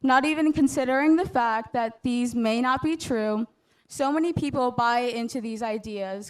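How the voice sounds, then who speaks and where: Young female student speaker, graduation address, earnest and measured, higher voice, clean smooth tone. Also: low-quality recording. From United States. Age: young adult. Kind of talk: prepared graduation speech.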